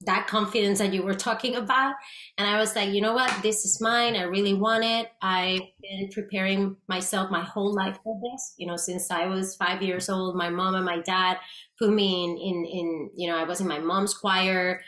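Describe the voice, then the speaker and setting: A woman's voice: medium pitch, normal speed. A woman in her thirties with a Puerto Rican accent, a guest actress chatting animatedly over a video call. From Puerto Rico. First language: Spanish. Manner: animated, gestures a lot, restarts often. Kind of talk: casual interview chat. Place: small room with plain grey wall, indoors. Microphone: video call webcam. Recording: roomy echo.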